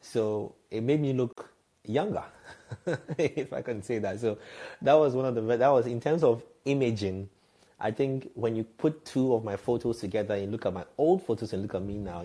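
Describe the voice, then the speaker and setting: A man's voice: mid-range voice, normal speed, warm tone, breathy edge. A man, a radio and TV presenter telling frank, reflective stories as a podcast guest. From Ghana. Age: 30s. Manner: reflective, frank, laughs lightly at himself. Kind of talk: candid conversational storytelling.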